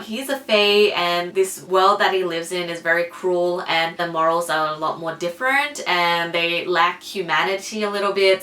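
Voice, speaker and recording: A woman's voice: lowish voice, sharp tone. A woman book vlogger chatting casually to camera in a self-conscious, slightly giggly way. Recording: roomy echo, distant from mic.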